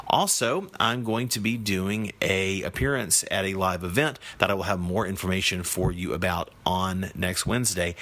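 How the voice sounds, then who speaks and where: Deep-voiced man hosting a podcast. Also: pushed and bright. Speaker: podcast host. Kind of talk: casual podcast interview. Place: hotel room. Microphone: shotgun movie mic.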